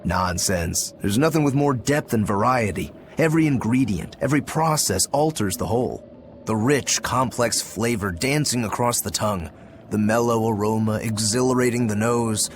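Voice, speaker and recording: An actor playing an easygoing male swordsman demon who loves drink, talking in a relaxed, teasing way in a video game cutscene. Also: on the low side, normal speed, a little hiss or hum, natural voice. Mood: savoring. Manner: laid-back, teasing.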